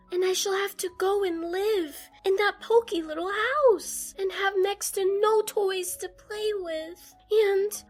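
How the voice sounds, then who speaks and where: A woman's voice: very high-pitched, exaggerated voice. A woman voicing a bewildered little girl in an audiobook, musing earnestly aloud and scolding herself. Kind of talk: audiobook character acting.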